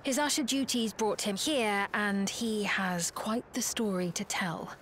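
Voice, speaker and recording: A woman's voice: medium pitch, a little slow, a bit bright, slight background noise, lightly acted. An actress playing a composed, serious woman ally in a video game cutscene.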